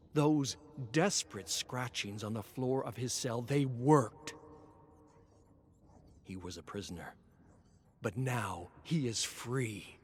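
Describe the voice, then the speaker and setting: A male narrator tells a dark story with theatrical weight. He speaks very slowly in a mid-range pitch. His delivery is brooding and often hushed. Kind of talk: dramatic scripted narration.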